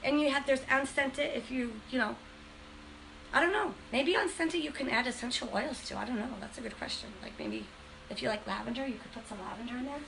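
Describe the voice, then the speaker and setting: A woman's voice: high voice, fairly quick, creaky, a bit bright, a little hiss or hum, poor audio. A woman from Western Massachusetts demonstrating furniture finishing on a livestream, chatty and enthusiastic, a bit congested from a cold. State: has a cold. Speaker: furniture painting host. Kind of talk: casual livestream demo.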